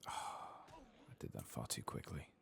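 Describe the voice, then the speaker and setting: A man giving soft-spoken ASMR game commentary, sometimes dropping to a whisper, with a dry, self-deprecating way of murmuring to himself. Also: unhurried, background noise. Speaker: host of a gaming ASMR channel.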